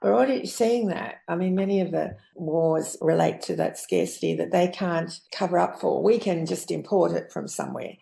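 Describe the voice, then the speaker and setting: A woman giving her opinions in a measured way as a guest in a panel discussion. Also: fairly low pitch, vocal fry, mellow. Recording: echoey room sound.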